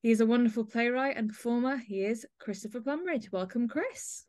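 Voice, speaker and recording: A woman's voice: on the high side, brisk, darkish tone, no echo, studio quality. A woman hosting a conversational interview, speaking in an effusive, complimentary way. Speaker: podcast host interviewing a playwright.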